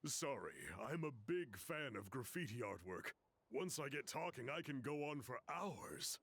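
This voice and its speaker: Talkative young-hearted guide, video game, husky, animated intonation, casual storytelling. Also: male voice, medium pitch, normal speed, no echo. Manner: casual, explanatory, chatty.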